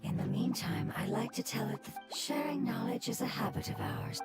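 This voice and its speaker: A calm, polite spirit speaks softly, voiced by a woman. Her voice sits a bit high and gentle. Loud game music plays behind her. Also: moderate pace, close to an everyday voice.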